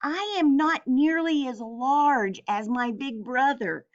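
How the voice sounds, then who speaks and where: Woman doing a high, polite, nervous billy goat. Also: takes it slowly, highs cut off. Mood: nervous pleading. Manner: polite, pleading, careful. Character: middle-sized male billy goat, a little frightened, polite.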